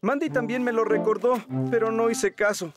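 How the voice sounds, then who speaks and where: A well-meaning, sheepish cartoon fellow with Latin American Spanish. His voice sits high and sounds earnest, with music clearly behind it. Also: fairly even intonation, moderate pace. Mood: sheepish, regretful. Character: well-meaning, apologetic man in children's cartoon. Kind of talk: animated children's series dub.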